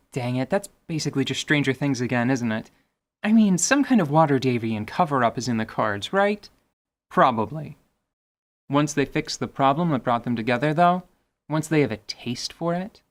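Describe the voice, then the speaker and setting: A man hosting a podcast, giving semi-scripted tabletop gaming commentary in an articulate, wordy way with wry, playful asides. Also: medium pitch. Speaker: podcast host.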